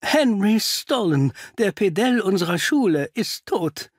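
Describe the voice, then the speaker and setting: Man voicing a flustered village doctor, high and husky. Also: big pitch swings, no room sound, studio quality, heavily acted. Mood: shaken. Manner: agitated, halting.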